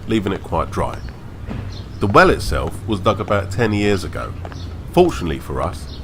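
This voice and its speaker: A man acting as a working man in a historical audio drama, with a deep voice. He explains things to his fellows over clear background noise and ambience.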